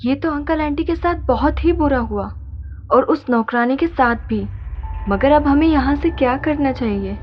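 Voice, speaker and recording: Woman playing a high-voiced, frightened young woman. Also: lively intonation, normal speed. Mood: subdued, worried. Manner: pleading, melodramatic. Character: frightened, anxious young woman in a haunted house. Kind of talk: dramatized horror story dialogue. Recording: dull lo-fi sound with music behind.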